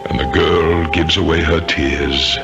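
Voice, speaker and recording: An actor playing the remorseful, tormented ghost of a centuries-old murderer in a 1970s radio drama, solemn and theatrical with dramatic repetitions. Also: male voice, very deep voice, fairly even intonation, measured pace, lo-fi sound. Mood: wistful, solemn. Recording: 1970s radio broadcast with music.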